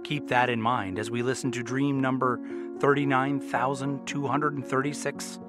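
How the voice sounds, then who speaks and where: A man playing the theatrical, deliberate storyteller of a mysterious dream tale in a radio drama. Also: mid-range voice, level tone, roomy sound, over music, close to an everyday voice. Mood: theatrical, intriguing. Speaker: narrator. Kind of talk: scripted radio drama narration.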